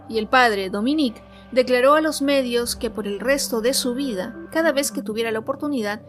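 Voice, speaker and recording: A woman narrating a scripted true crime story as host, in a measured, clearly phrased way. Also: on the high side. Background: background music.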